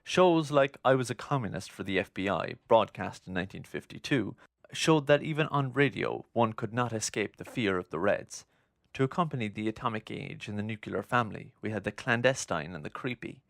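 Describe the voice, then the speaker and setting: A man narrating a scripted documentary in a measured, essay-like delivery. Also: on the low side, expressive, creaky, dry recording, clean studio sound.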